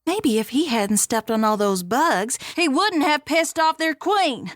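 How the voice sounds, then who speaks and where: A woman's voice: high-pitched, expressive, unhurried, no echo, studio quality. A woman playing a brash, plucky young treasure hunter in an audio drama, blunt and sassy.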